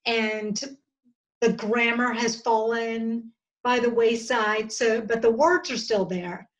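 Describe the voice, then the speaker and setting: A woman of about fifty with an American accent, talking earnestly and haltingly as a guest in an interview, often restarting her sentences. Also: a little high, steady tone, rough recording. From southern United States. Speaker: podcast guest. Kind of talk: reflective interview conversation.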